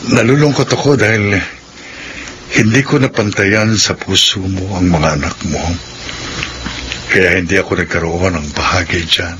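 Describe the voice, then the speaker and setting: A wistful, resigned suitor played by an actor in a radio drama. His voice is low and earnest. Noise is clearly audible behind him. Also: normal speed, close to an everyday voice. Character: gentle, resigned rejected suitor bidding farewell. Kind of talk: Filipino radio drama acting.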